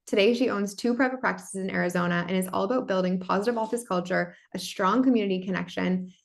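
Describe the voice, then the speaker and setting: A woman's voice: mid-range voice, creaky. A woman hosting a podcast, reading a scripted welcome and then interviewing her guest with gushing enthusiasm. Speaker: podcast host.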